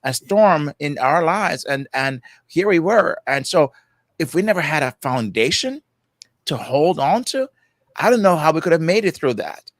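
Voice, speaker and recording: A man's voice: fairly high pitch, big pitch swings, normal speed, no room sound. A man in his sixties with a Jamaican accent, a guest speaking reflectively in casual conversation and often adding "you know" and "right".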